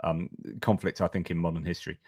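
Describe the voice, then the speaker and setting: A man's voice: sits low, flat delivery, quick pace, darkish tone, breathy edge, no echo, studio quality. A man hosting a live online stream, reading out viewer comments, rambling and joking at his own expense, a little tipsy from wine. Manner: joking, rambling, self-mocking. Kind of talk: casual live chat.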